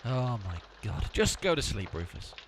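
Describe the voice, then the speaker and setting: An actor playing the exasperated, sensible half of a comic duo in an amateur audio drama, bossy and long-suffering. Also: male voice, mid-range voice, big pitch swings, normal speed, slight background noise, lightly acted.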